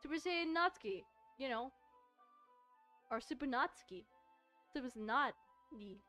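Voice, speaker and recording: A woman's voice: sits high, lively intonation, a little slow, bright, forceful tone. A woman streaming a visual novel, mixing casual, rambling, playful chat with reading aloud. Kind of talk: casual let's-play chat and read-aloud. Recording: music behind the voice. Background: music.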